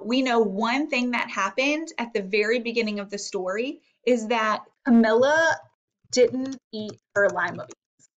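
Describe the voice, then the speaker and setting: A woman's voice: a little high, a bit bright. A woman teacher reading a storybook aloud and guiding children with instructive questions. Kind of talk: storybook read-aloud with guided lesson.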